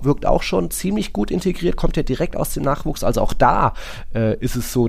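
A man's voice: medium pitch, a little mellow. A man talking football casually as a podcast host, in an informal, digressive, opinionated way.

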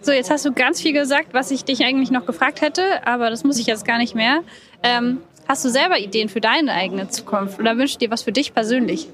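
Woman, high voice, interviewer, friendly, chatty questions. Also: brisk, a crowd in the background. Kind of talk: event interview.

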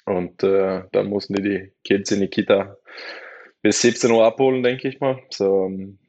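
A Norwegian-born man speaking German as a guest in a casual interview. He has a Norwegian accent, searches for words and pauses on many fillers. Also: lowish voice, flat delivery, darkish tone, lo-fi sound. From Norway. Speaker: guest, handball player.

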